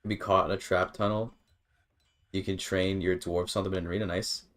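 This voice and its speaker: A man's voice: low voice, little pitch movement, normal speed, fairly bright tone. A man giving casual live gameplay commentary, rambling and repeating words as he thinks aloud. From Canada. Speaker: game streamer.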